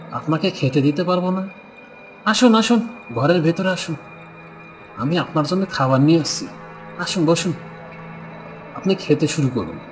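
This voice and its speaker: An actor playing a greedy, callous, lecherous villager and killer in a horror cartoon, exclaiming and muttering theatrically. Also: male voice, on the high side. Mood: oily, welcoming.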